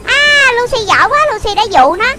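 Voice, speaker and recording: A childlike voice giving excited running commentary on a video game for children, full of exclamations and repeated short phrases, with loud music behind it. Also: extremely high pitch, moderate pace, over a noisy crowd.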